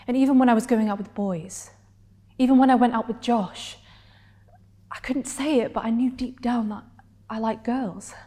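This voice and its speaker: Woman acting hurt defiant woman, stage monologue, higher voice, intense, halting, long reverb. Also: warm tone, close to an everyday voice. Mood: confessional, tender. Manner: intense, halting, drops to breathy whispers.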